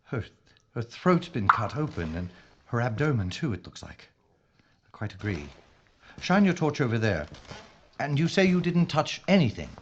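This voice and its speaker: Casting: male actor as wry, formal police inspector for radio play; lively intonation, muffled noisy recording. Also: medium pitch, lightly acted. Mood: grim, clinical. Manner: measured, formal, clinical. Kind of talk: audio drama acting.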